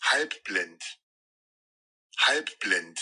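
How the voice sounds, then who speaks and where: A man's voice: sits low, expressive, very slow, bright, forceful tone, slightly rough. A synthetic male translation voice pronouncing single vocabulary words one at a time, dictionary style. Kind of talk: isolated dictionary-style word pronunciation.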